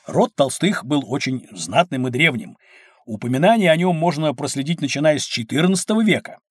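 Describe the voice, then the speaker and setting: Animated, kindly uncle. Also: male voice, a little high, brisk, slightly rough, no room sound, clean studio sound. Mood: explanatory, kindly. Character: kindly, knowledgeable uncle teaching children. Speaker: storyteller uncle explaining to children. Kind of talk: scripted educational audio drama.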